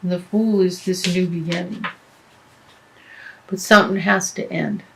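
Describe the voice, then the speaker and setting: A woman giving a tarot reading, losing her voice, talking in a halting way with many fillers and restarts. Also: on the low side, fairly even intonation, vocal fry, background noise. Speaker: tarot reader.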